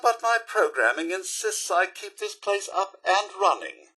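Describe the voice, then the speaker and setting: A man's voice: high-pitched, animated, unhurried, bright, forceful tone, breathy edge, exaggerated voice. A man with a British accent voicing a prim, fussy robot butler in a video game, polite and sardonic, with theatrical, formal delivery. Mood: disdainful, dry. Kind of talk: video game character voice lines.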